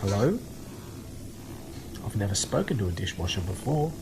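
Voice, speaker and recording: An actor plays a thoughtful everyman hero in an audio drama. His voice is fairly low, earnest and a little hesitant, over clearly audible background noise. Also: very animated, moderate pace. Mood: puzzled. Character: earnest, thoughtful ordinary man facing strange worlds. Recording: noisy ambience behind the voice.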